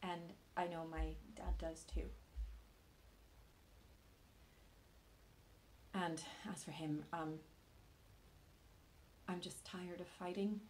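An actress plays a young woman in a quiet contemporary drama, vulnerable and grieving. Her voice sits a little low and she speaks very slowly, with tentative pauses. She sounds earnest and unsure of herself. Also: steady tone, slight background noise, low-quality recording. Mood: sad, longing. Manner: halting, tentative, with long pauses. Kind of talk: naturalistic contemporary dramatic monologue.

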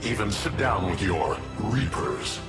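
Solemn hybrid warrior rebel for a game: formal, deliberate, measured pace, loud music underneath. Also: male voice, lowish voice, sing-song. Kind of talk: dramatic video game acting.

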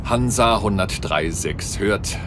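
A man's voice: fairly low pitch, slow, music in the background. A man playing an offhand, cocky police inspector in a comic radio play. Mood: breezy. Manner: offhand, dismissive. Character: breezy, cocky male police chief inspector. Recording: clear car noise behind.

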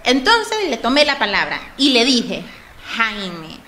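An actress playing an expressive, dramatic Honduran migrant wife in a radio soap opera. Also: female voice, fairly high pitch, takes it slowly, a little hiss or hum, exaggerated voice. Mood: confiding. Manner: expressive, exclamatory.

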